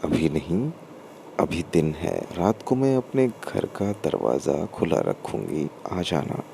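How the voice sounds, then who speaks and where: Man reading as a teasing young wife, gravelly. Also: a bass voice, sing-song, slow, mellow, slight background noise, exaggerated voice. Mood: conspiratorial.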